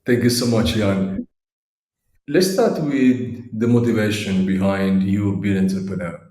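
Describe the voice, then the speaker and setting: A male podcast host opens with polite thanks and formal questions. His voice sits low and steady. The room around him adds a noticeable echo. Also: measured pace. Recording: echoey room.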